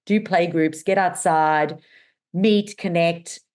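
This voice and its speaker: A middle-aged woman from South Africa with a mixed South African and Australian accent, telling her story as an interview guest in an animated, chatty way with many fillers. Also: fairly low pitch, slow. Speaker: guest, business owner. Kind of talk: casual interview chat.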